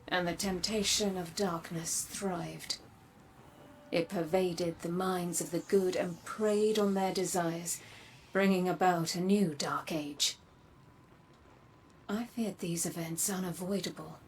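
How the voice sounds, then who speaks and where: A woman acting as a grave, enigmatic guide to dark power in a video game, speaking in a solemn, measured, portentous way. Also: lowish voice, slight background noise.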